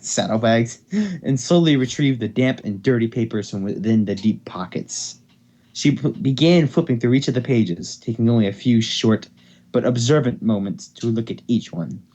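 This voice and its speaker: A man's voice: mid-range voice, warm tone. A man hosting an amateur reading of fan fiction, informal and sometimes stumbling over his words. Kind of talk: amateur dramatic story reading. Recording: dull lo-fi home microphone.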